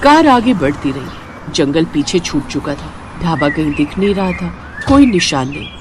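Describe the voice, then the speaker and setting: A woman reads a story as an expressive narrator. Her pitch swings in a lively way, with faint noise behind her. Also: normal speed.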